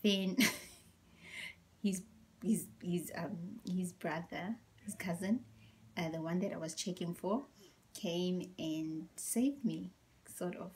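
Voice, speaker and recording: A young woman telling a story in a casual, animated way, acting out quotes and dropping into whispered asides, her voice deep and tired after a workout. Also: slow pace, long pauses, a little hiss or hum. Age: young adult. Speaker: vlogger.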